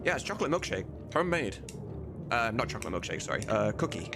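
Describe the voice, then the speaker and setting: A man's voice: mid-range voice, very animated, quick pace, background noise. A man chatting casually on a live stream, rambling and informal with some swearing. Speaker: live streamer and game modder.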